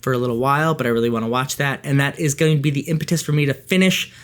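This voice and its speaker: A man's voice: medium pitch, steady tone, rapid-fire. An American man talking casually and enthusiastically to viewers as a vlogger, often correcting himself in an informal way. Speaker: book and film vlogger.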